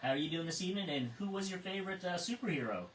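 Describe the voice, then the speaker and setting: A man's voice: high voice, animated, rapid-fire. A man casually hosting an amateur radio net as net control, sometimes repeating his words. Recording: roomy, distant, with background noise.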